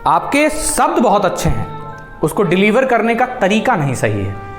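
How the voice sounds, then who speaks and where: A man's voice: high-pitched, wildly swooping pitch, moderate pace. A man with an Indian accent teaching public speaking to a class, instructive, emphatic and encouraging, switching between Hindi and English. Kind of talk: classroom lesson with demonstrations. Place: classroom in front of students. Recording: roomy echo with faint music.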